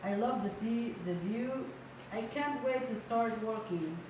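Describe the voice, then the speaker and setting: A woman's voice: a little high, a little slow, very echoey, natural voice. Casting: woman as small naive sea creature for puppet show, distant from the mic with faint noise. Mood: cheerful. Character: cheerful, naive little sea creature without a nose. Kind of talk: amateur puppet show acting.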